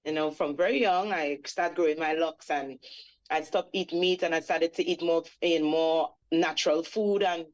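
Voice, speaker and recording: A woman's voice: deep voice, steady tone, normal speed, a little mellow, dull audio. A woman in her forties from Trinidad with a Trinidadian accent, a reggae singer guest recounting her life in a reflective, storytelling way with many fillers. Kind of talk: radio interview.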